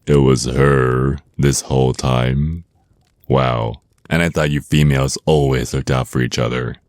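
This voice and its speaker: Guy doing a super deep flirty vampire naga, menacing and condescending, asmr roleplay, really dry close sound. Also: fairly even intonation, darkish tone, no echo. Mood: taunting threat. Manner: slow, teasing, condescending, threatening endearments.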